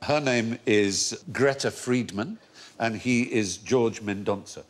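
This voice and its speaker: A middle-aged man hosting a comedy quiz panel, quick and animated and laughing often. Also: on the low side. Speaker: quiz show host. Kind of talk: comedy panel show banter. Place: TV studio with live audience.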